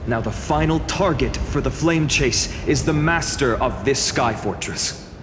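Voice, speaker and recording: A man's voice: medium pitch, expressive, a little slow, noisy background, lightly acted. A man voicing an earnest, courteous hero in a fantasy game, formal and composed. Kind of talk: scripted fantasy game dialogue. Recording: long hall reverb, music behind.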